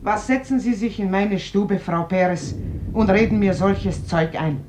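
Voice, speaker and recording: A woman's voice: medium pitch, unhurried, a little mellow, lightly acted. An actress playing a defiant, embittered middle-aged mother in a radio drama, argumentative and emphatic. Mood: indignant, aggrieved. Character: defiant, embittered mother refusing her sons to war. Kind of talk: German stage-like radio drama acting. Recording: noisy old radio drama recording.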